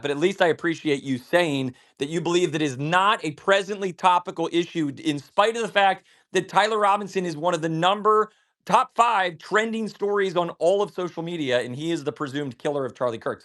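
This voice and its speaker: A man's voice: fairly high pitch, fairly quick, fairly bright tone. A man hosting a live audio discussion, pressing and interrogating a caller and cutting in with questions. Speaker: host of a live audio space. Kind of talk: heated live audio space moderation.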